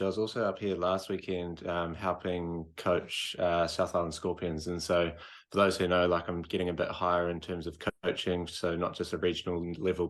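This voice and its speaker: NZ male co-host, deep and even, thoughtful and filler-heavy. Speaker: co-host, coach. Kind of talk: casual podcast chat.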